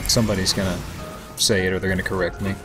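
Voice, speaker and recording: A man giving casual, somewhat halting commentary as host of a gameplay video, with a self-deprecating manner. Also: sits low, level tone. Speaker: let's play host. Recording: game music behind voice. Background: video game music.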